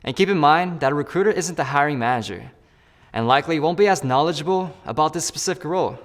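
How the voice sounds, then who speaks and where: A man's voice: fairly high pitch, animated, fast, long reverb tail. A man role-playing a job candidate in a phone interview and explaining each step to the viewer in an upbeat way. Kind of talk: scripted role-play with asides to the viewer.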